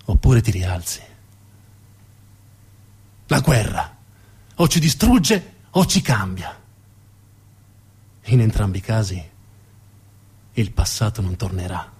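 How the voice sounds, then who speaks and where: A man's voice: on the low side, expressive, slow, long pauses, darkish tone, breathy edge, pitched below the performer's own voice, full character voice. A man playing an arrogant, cynical businessman scarred by war, in a dramatic, commanding stage monologue. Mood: grim, defiant.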